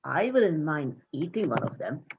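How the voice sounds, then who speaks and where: A woman's voice: deep voice, big pitch swings, normal speed, slightly rough, heavily acted. A woman playing a blunt, flippant farm boy in a dramatic play reading on a dull microphone. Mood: cheeky.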